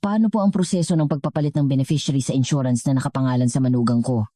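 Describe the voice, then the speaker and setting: Woman voicing a low, demanding older mother-in-law. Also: very fast, mellow, no room sound, studio quality. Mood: scheming.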